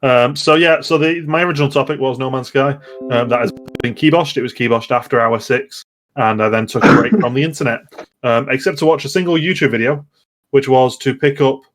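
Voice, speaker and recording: A man chats casually as a podcast host about games, at a middling pitch. He rambles and hesitates, often repeating words as he finds his way through a sentence. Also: brisk, faint music behind. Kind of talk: casual gaming podcast chat.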